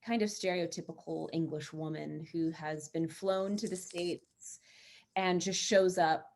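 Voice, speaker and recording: A woman, an actress, chatting informally and a little haltingly about her work on a panel. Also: on the low side, steady tone, darkish tone, slight background noise. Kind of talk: casual panel talk about a role.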